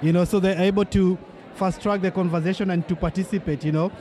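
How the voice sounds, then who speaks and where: A man's voice: high-pitched, fairly even intonation, fast talker, mellow, a faint crowd. A man co-hosting live conference radio in an upbeat, chatty way.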